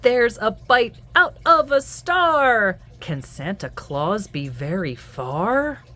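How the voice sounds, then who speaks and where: A woman reading a children's picture book aloud in an expressive, singsong storytelling manner. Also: medium pitch. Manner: animated, singsong, deliberate storytelling. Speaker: children's book reader and host.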